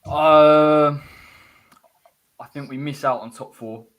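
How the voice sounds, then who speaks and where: A man on a casual online football chat, calm and measured as he gives his view. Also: fairly high pitch. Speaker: panel guest, West Ham fan.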